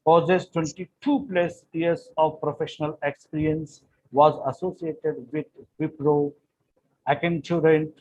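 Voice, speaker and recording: A man hosting an event, reading out a formal, list-like introduction of the guest speaker and politely handing over to him. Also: on the high side. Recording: dull recording with faint background noise.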